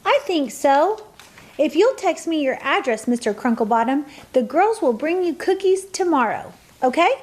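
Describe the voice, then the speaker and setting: High-voiced, slightly flustered mom. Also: female voice, lively intonation, normal speed, darkish tone. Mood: pleased. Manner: careful, hesitant, patient. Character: gentle, slightly flustered mother of two young girls. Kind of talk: radio play acting.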